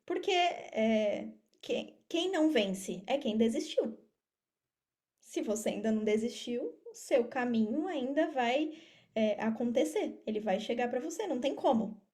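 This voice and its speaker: A woman's voice: a little high, expressive, unhurried, a little mellow. A Brazilian woman giving casual, motivational interview answers as a podcast guest, emphatic and encouraging. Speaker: podcast guest, affiliate marketer. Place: remote video call.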